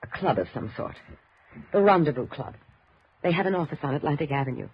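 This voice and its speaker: A dull, hissy vintage radio recording. a grieving woman, wounded and full of suspicion. She speaks haltingly and insistently, her pitch swinging widely with feeling. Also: big pitch swings. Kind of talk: 1950s radio drama acting.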